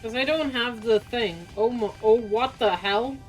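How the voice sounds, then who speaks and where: A woman's voice: on the high side, unhurried, faint music behind, rough recording. A woman streaming a game, chatting casually and greeting her viewers with bubbly, giggly energy. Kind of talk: casual live-stream chat.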